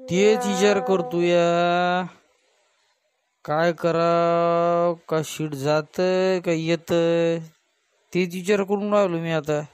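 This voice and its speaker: A man's voice: high voice, little pitch movement, slow, long pauses, clean tone, dry recording, soft background music. A man voicing a worried, hesitant cartoon village headman with a folksy Varhadi Marathi accent. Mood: worried, unsure. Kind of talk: comic cartoon dialogue.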